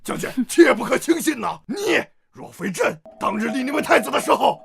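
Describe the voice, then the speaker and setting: An actor playing a scheming, cowardly old emperor in a period drama, pompous, blustering and theatrical. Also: male voice, very high voice, very animated, a little fast, vocal fry, exaggerated voice. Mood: indignant bluster.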